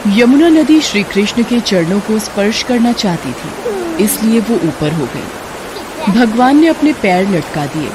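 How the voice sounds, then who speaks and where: Mid-pitched woman narrating a devotional story. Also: sing-song, a little slow, noisy background.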